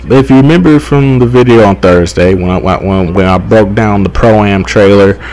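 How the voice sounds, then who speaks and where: A young man giving casual, rambling gaming commentary, halting, repeating himself and swearing freely. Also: fairly low pitch, a little mellow, rough recording. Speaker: gaming YouTuber.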